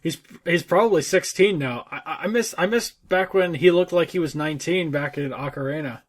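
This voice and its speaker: A man's voice: fairly high pitch, fairly quick. A man co-hosting a podcast, chatting casually and informally about games, with some swearing. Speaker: podcast co-host. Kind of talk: casual gaming chat.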